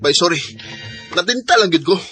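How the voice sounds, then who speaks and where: A man's voice: high-pitched, expressive, fairly quick, bright, forceful tone, soft background music. A male actor playing a sheepish, flippant young boyfriend in a Bisaya radio drama. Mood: defensive.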